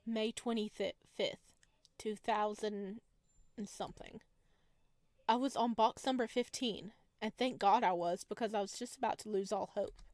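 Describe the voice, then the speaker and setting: A woman hosting a gaming video, reading visual novel text aloud and commenting in an expressive, chatty way. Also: mid-range voice, a little slow, no room sound. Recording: music behind the voice. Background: background music.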